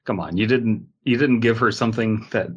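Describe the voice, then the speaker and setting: A man with a fairly low voice chats as a podcast co-host. He builds on jokes in a mock-serious way, keeping the talk loose and conversational. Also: fairly quick, breathy edge, highs cut off. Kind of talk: casual joking group podcast chat.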